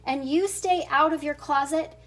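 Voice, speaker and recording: A woman's voice: sits high, moderate pace, fairly bright tone. A woman voicing a strict Siamese cat mother from a storybook, scolding and lecturing with emphasis.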